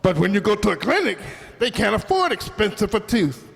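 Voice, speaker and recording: A man's voice: sits high, sing-song, brisk, gravelly, a bit bright, big-room sound, heavily acted. A man playing a pushy, scheming Italian immigrant restaurant owner in an old-time radio comedy, exclaiming and wheedling in broken English with an Italian accent. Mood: persuasive.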